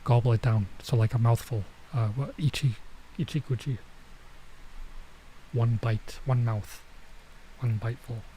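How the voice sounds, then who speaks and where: A man streaming a visual novel, reading it aloud with casual commentary and thinking out loud as he goes. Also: mid-range voice, fairly even intonation, a little slow, long pauses, slight background noise, poor audio. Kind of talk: let's play read-aloud with casual commentary.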